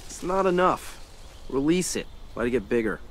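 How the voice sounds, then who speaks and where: A man's voice: medium pitch, big pitch swings, moderate pace. A man playing a serious, practical teenage boy in a survival game, speaking tersely and reproachfully. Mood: stern, resigned. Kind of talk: video game voice acting.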